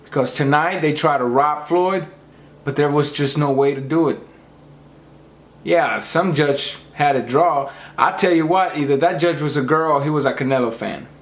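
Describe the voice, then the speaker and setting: A man's voice: fairly high pitch, moderate pace, echoey room. A man losing his voice, ranting emphatically about boxing and lecturing his viewers. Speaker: boxing commentator. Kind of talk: opinionated rant to camera.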